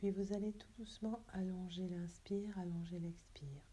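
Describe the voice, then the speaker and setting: A woman yoga teacher leading a guided relaxation meditation in a soft, breathy, hushed and soothing, unhurried way. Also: on the low side, steady tone.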